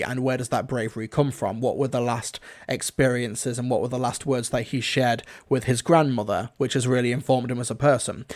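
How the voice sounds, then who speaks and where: Male reviewer, middle pitch, rambling, keen, off-the-cuff livestream commentary. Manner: enthusiastic, rambling.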